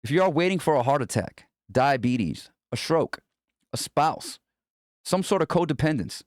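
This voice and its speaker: A man narrates a self-help audiobook as its author, in a slightly high voice. His pitch moves around a lot as he addresses the listener directly in a conversational, motivational style. The sound is dry, with no room echo. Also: animated, moderate pace. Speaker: author reading own book aloud.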